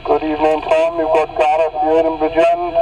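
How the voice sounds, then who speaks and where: A man with a fairly high, even-toned voice calls in on CB radio with short, casual reports. He comes through distant under very loud noise. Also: moderate pace. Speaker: CB radio station calling in. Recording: distant, very noisy CB radio signal.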